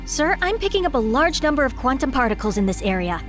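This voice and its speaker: A woman's voice: high-pitched, sing-song, quick pace, fairly bright tone, soft background music. A woman playing an earnest, eager robot scientist in a sci-fi audio drama. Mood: absorbed.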